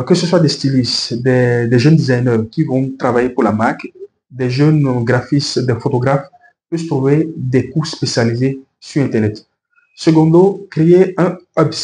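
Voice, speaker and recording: A man's voice: mid-range voice, dark tone. A man from Benin with a Beninese accent, a native speaker of a local language, giving earnest, explanatory interview answers and advice point by point. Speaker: interview guest, fashion professional. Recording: roomy echo with faint background noise.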